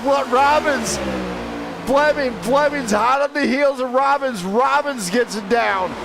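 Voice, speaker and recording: A man calls a sim race live in a high voice, getting excitable and rattling off drivers as the field battles. He keeps an unhurried pace between bursts. Racing engine noise from the game roars clearly behind him. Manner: excitable, shouting driver names. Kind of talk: live play-by-play race commentary. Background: car engine roar from the game.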